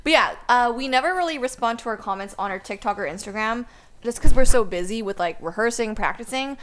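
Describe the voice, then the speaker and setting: A young woman with a voice set a touch high runs a casual podcast chat among friends. She sounds upbeat and chatty, and she often leans on "like" as a filler. A faint hiss sits under her voice. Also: animated, brisk, a bit bright. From Canada. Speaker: podcast host, first violinist.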